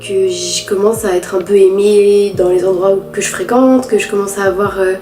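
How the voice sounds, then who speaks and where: A teenage girl with a head cold, talking nasally through a candid, halting confessional vlog. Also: medium pitch, steady tone, warm tone, roomy sound, faint music behind. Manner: halting, candid, many restarts and fillers. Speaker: vlogger.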